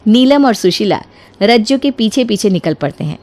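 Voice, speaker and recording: A woman narrating a scripted story in an expressive storytelling manner. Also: mid-range voice, normal speed. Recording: music behind the voice.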